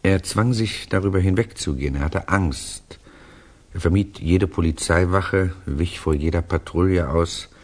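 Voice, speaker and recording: An actor playing a reflective storyteller in a 1960s German radio play, narrating conversationally in a measured, wry, husky voice. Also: male voice, low-pitched. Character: reflective male storyteller recounting to a friend.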